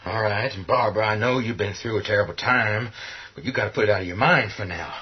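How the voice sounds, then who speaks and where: An actor playing a gruff, resolute middle-aged working man in a horror radio play, speaking in a folksy, commanding way. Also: lowish voice, quick pace. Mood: urgent, commanding. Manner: folksy colloquial drawl, commanding, rough-spoken. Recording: dull, noisy lo-fi audio.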